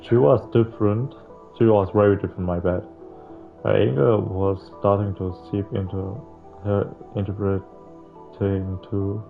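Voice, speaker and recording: Slow-talking, low-voiced man on a dull mic. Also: fairly even intonation, creaky, faint music behind. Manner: informal, slangy, swearing. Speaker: video game playthrough host. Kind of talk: reading visual novel text aloud with casual commentary.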